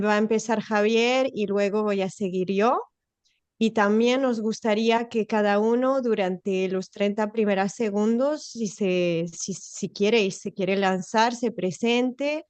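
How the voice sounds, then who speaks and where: Woman with a mixed accent, smooth. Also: medium pitch, darkish tone, no echo. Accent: mixed international Spanish. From France and Spain. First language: French. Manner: friendly, hesitant restarts, light laughs. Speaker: co-host of an online talk. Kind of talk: casual conference introduction. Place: in-person event in Valencia.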